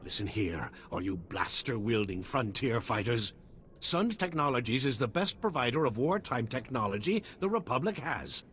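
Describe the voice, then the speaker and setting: A man's voice: very animated, fairly bright tone, slight background noise. Showy, swooping-voiced salesman. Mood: boastful pitching. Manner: showy sales pitch, joking, theatrical pauses. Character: flamboyant, self-amused male frontier tech salesman, greedy and cowardly. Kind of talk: video game character acting.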